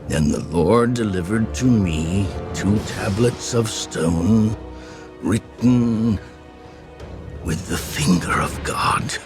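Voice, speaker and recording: An actor playing a solemn elderly prophet who rebukes his people in a grave, deliberate, oratorical way for a dramatized audio Bible. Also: lowish voice, over music. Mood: solemn recounting.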